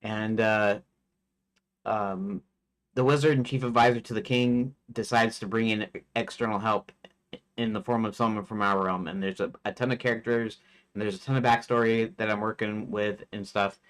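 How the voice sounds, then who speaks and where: A man's voice: on the low side, steady tone, moderate pace, a bit bright. A man in his forties, a game developer chatting casually on a live stream, rambling with many fillers and restarts. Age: 40s.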